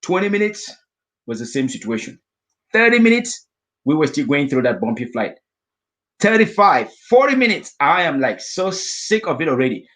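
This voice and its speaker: A middle-aged man from Africa telling a vivid personal story as a guest speaker, acting out his own inner thoughts in lively asides. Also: on the high side, normal speed. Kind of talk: storytelling talk.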